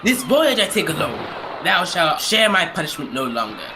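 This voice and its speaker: A man's voice: fairly high pitch, big pitch swings, normal speed. A man playing a doomed, lovelorn sea captain with solemn, archaic declamation in an old-time radio drama style. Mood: solemn, resolute. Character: doomed ghostly sea captain, older man, anguished lover. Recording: clearly noisy amateur stage recording.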